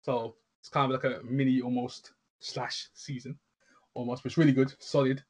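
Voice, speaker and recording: A man co-hosting a show, talking casually in an excitable, slangy way. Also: medium pitch, moderate pace, husky. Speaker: co-host reviewing shows. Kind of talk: casual chat.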